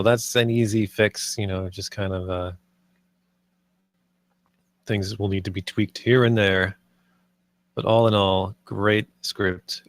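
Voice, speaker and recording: A man with a fairly low voice offers informal critique in a conversational way. The sound is dry, with music playing behind him. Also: no room sound. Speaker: table read participant giving script feedback. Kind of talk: casual spoken critique.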